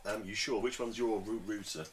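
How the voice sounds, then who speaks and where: A man's voice: fairly low pitch, steady tone, a little fast, over a noisy crowd. A man chatting casually as an interviewer in an informal conversation.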